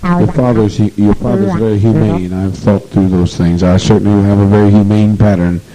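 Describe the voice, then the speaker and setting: A man's voice: on the low side, steady tone, moderate pace, warm tone, a little hiss or hum, low-quality recording. A man leading a meeting, speaking intensely and repetitively in a preaching manner on an old archival tape. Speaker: group leader addressing a meeting. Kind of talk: commanding speech to an assembly.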